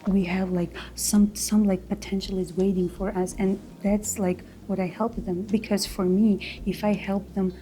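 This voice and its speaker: A woman's voice: mid-range voice, flat delivery, moderate pace, few pauses, warm tone, a little hiss or hum, poor audio. A woman from Tajikistan in her thirties, talking casually as an interview guest, with many fillers and restarts. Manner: loose, filler-heavy, frequent restarts. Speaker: realtor, guest.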